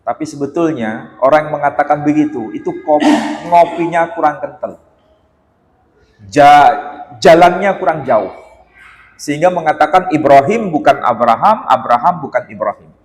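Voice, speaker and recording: An Indonesian man giving a religious lecture in a mosque, animated and teasing, with rhetorical questions. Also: fairly high pitch. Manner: chants Quran verses in a slow melodic recitation. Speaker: Islamic lecturer. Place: mosque hall. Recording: echoey mosque hall.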